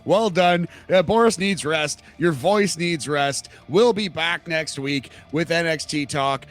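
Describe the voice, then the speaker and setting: A man's voice: fairly high pitch, a little slow. A man co-hosting a wrestling podcast in casual chat. He laughs easily, stammers and repeats words. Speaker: podcast co-host.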